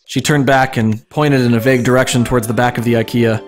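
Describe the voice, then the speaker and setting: A man's voice: medium pitch, steady tone, fast. Man reading dramatically over music. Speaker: streamer reading aloud. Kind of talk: dramatic narration of comic fan fiction.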